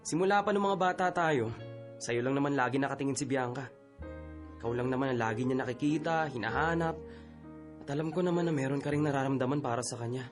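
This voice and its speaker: A man's voice: medium pitch, fast, music in the background, natural voice. A man acting as a lovesick, evasive younger brother in a Filipino radio drama, speaking casually and hesitantly. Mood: defensive, wistful.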